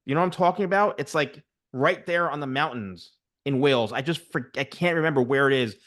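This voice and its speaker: A man's voice: mid-range voice, a little fast. Chatty man in his forties. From United States. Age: 40s. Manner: chatty, animated storyteller, many fillers. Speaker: host, barber coach. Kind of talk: casual interview chat.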